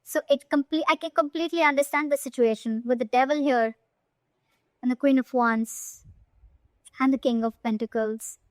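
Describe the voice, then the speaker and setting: A woman's voice: high voice, normal speed, no echo. A woman giving an informal tarot reading straight to the viewer, speaking emphatically and often checking in with short tag questions. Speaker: tarot reader.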